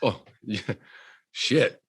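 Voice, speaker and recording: A man with a Cuban American accent, a podcast guest who talks in an emphatic, motivational way. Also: extremely slow. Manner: emphatic, motivational, says dude. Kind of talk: casual conversation.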